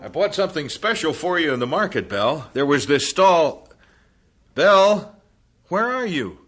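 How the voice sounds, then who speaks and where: An actor playing a patient missionary husband in a radio drama, gentle and wry. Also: male voice, fairly high pitch, very animated, moderate pace, faint music behind. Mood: concerned. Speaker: missionary husband, then announcer. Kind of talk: 1950s-style radio drama acting.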